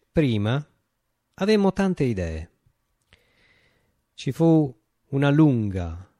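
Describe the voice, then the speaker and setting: A man performing a radio monologue as a reflective revolutionary recalling a utopian uprising, with deliberate phrasing and dramatic pauses. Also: mid-range voice, a little mellow, no room sound, studio quality.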